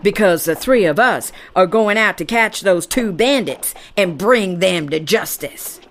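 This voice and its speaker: A woman's voice: medium pitch, lively intonation, measured pace. A woman playing a bold, boastful gunslinger who speaks in grand, formal old-west phrases in an audio drama. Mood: commanding. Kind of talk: children's western audio drama acting.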